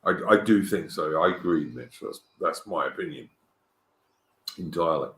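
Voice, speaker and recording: An English man hosting a casual online political chat, halting and searching for words, with many ums and restarts. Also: very deep voice, lively intonation, fairly bright tone. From England. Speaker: host. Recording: echoey room.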